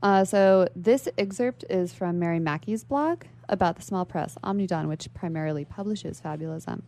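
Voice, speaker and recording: A woman with a fairly low voice and plenty of creak talks as a guest on a radio show. She explains literary ideas thoughtfully, in an unscripted conversational style. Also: normal speed, warm tone. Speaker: interview guest; library worker.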